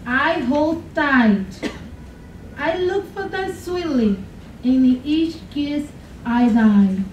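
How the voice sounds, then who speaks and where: High-voiced woman reading poetry slowly. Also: animated, a little mellow. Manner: slow, deliberate, pausing between words. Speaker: contestant reading a poem. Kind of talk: slow dramatic poetry reading. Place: college classroom, at a podium. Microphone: podium mic, from a far camera. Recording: roomy room, far-off camera, faint noise.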